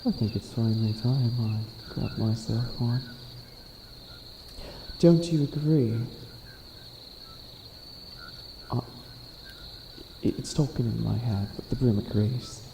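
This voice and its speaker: A man playing a centuries-old, boastful but bumbling evil witch in an ASMR comedy roleplay, speaking in a theatrical, halting, grandiose way. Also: medium pitch, gravelly, reverberant, background noise. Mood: smug.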